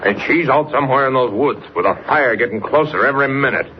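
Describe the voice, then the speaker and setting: A man plays a shrewd, menacing racketeer boss in an old radio serial. He barks slangy orders in a mid-range voice. The recording is muffled and clearly noisy. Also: mid-range voice, quick pace, lightly acted. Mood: urgent, bossy. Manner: slangy, tough, commanding talk. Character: shrewd, menacing, bossy male gangster racketeer. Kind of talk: 1940s radio acting.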